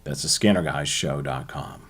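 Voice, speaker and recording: An American man hosting a hobby show, presenting to viewers in a halting way with frequent ums and uhs. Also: a bass voice, vocal fry, a bit bright, husky.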